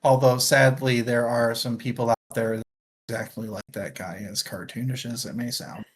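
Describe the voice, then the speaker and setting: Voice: mid-range voice, steady tone, normal speed, slightly rough. Casual book podcaster, wry and chatty. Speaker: book discussion show host. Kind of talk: casual chat, book discussion.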